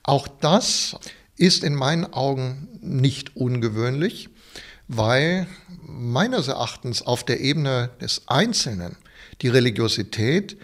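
A man, a religious studies scholar, explaining in an academic, measured way with occasional fillers. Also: medium pitch, animated. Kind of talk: interview soundbite.

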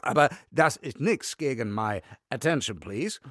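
Cocky trainer, radio play, mix, boastful, very animated, unhurried, dry studio sound. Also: male voice, mid-range voice, no echo. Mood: boastful, pushy. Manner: jumbles English and German, boastful, emphatic. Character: brash Australian fear-overcoming trainer, cocky and over-the-top. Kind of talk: children's radio play acting.

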